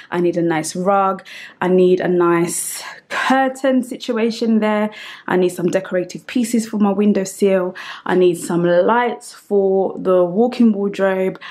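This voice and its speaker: A young woman giving a casual, chatty room tour, filming on a handheld phone in a small empty room. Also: lowish voice. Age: young adult. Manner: chatty, lists things, self-correcting. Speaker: home vlogger. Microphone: handheld phone camera at arm's length.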